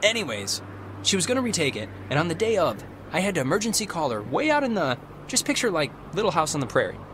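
A young man playing a rambling, excitable American teenage boy who interrupts himself, in naturalistic video game dialogue. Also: on the high side, fast talker, noisy background. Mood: rambling, upbeat. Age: young adult. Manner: rambling, animated, self-interrupting.

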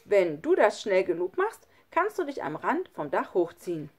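A woman's voice: medium pitch, very animated, normal speed, breathy edge. A woman voicing a bold, encouraging young boy who calls out and urges on, in a children's storybook reading. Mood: eager, encouraging. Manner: calling out, urging. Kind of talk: children's storybook read aloud.

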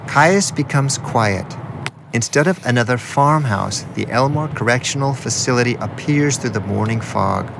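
A man delivers scripted radio documentary narration in a measured, storytelling manner. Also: medium pitch, animated, creaky, noisy background.

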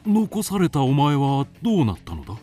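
A kindly gentleman doctor in an audio drama. He speaks with composed, old-fashioned authority and widely moving intonation. Faint music sits behind him. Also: on the high side, wildly swooping pitch, fairly quick. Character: kindly, learned male doctor recording an animal's biography. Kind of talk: Japanese radio drama acting.

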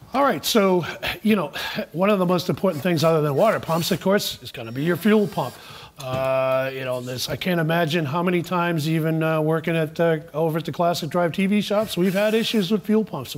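A middle-aged man with a chatty, repetitive way of talking, hosting a casual on-camera conversation in a workshop. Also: on the high side. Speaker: vlog host, car enthusiast. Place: indoor auto rebuilding workshop.

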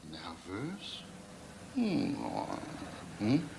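A man acting a calm, sly chief inspector in a crime radio drama, with a dry, needling manner. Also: medium pitch, background noise, full character voice. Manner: dry, needling, unhurried questioning.

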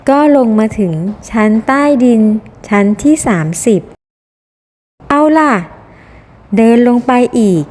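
A woman's voice: on the high side, lively intonation, slow pace, long pauses, a little mellow. A woman reading a scripted children's story in simple phrasing for small children, in a reverberant recording. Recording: long reverberant hall echo.

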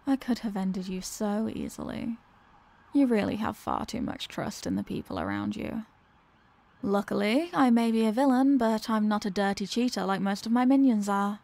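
A woman's voice: mid-range voice, animated, normal speed, creaky, darkish tone. A woman voicing a dominant, mocking female villain in an ASMR roleplay, with a condescending, teasing, theatrical manner. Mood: mocking, amused, then teasing, scornful.

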